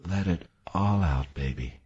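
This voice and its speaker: A man voicing a gentle, grave woman who comforts in a soft, cooing, measured way in an audiobook. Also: low-pitched, expressive, husky, highs cut off, heavily acted. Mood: soothing. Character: Mavis, soothing adult woman, gentle and grave confidante. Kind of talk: dramatic audiobook character reading.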